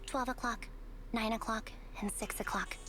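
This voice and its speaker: A woman's voice: fairly high pitch, fairly even intonation, normal speed, a bit bright, slight background noise, natural voice. An actress voicing a guarded, analytical, no-nonsense female soldier in an anime-style game. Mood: guarded, firm.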